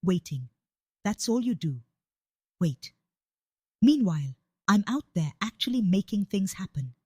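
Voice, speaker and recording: A woman's voice: mid-range voice, very animated, a little slow, a little mellow, slightly rough, dry recording, studio quality. A woman storyteller voicing a scornful, sarcastic wife who is fed up with her husband. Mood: snapping. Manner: sarcastic, snapping, cutting.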